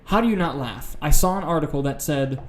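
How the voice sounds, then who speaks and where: A man's voice: a little high, lively intonation, brisk. A man co-hosting a casual podcast, talking about film news in a blunt, profane, opinionated way. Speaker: podcast co-host.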